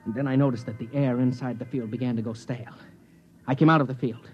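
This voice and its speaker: An actor playing a nervous, exasperated space explorer in a 1950s radio drama, with wry narration and dialogue. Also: male voice, mid-range voice, fairly even intonation, quick pace, faint music behind, lightly acted. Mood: wry, weary. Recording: dull vintage radio recording.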